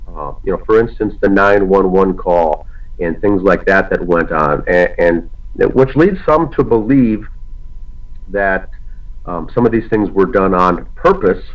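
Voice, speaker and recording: A fairly low-voiced man hosting a casual online broadcast, slightly hoarse from a cold. He talks haltingly, pausing on fillers and restarting phrases. The audio is dull and lo-fi. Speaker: podcast host. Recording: lo-fi muffled audio.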